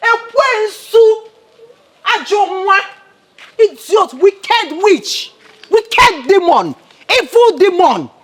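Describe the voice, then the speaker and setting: An actress with a Nigerian accent playing a furious, hateful woman in a film melodrama, ranting explosively in a shrill voice. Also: full character voice. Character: furious, hateful woman hurling insults and threats.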